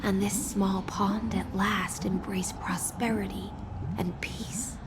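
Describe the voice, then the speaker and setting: A woman voicing a poised, world-weary storyteller in a video game, theatrical and measured, with hushed phrase endings, over music. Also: mid-range voice, fairly even intonation, very noisy, lightly acted. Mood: grand, solemn. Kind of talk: dramatic video game narration and monologue.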